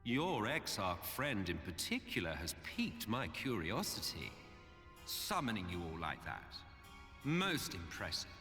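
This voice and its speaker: An actor playing a condescending, weary immortal villain in a video game, with pompous, sardonic, drawling delivery. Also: male voice, fairly low pitch, wildly swooping pitch, a little slow, pushed and bright, full character voice. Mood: smug. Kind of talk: dramatic video game voice acting. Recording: long hall reverb, music behind.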